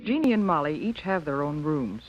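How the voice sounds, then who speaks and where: A woman playing a kindly, practical American school nurse who narrates a 1950s educational film in a measured, reassuring manner. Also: sits low, very animated. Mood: friendly narrating. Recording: dull 1950s film soundtrack.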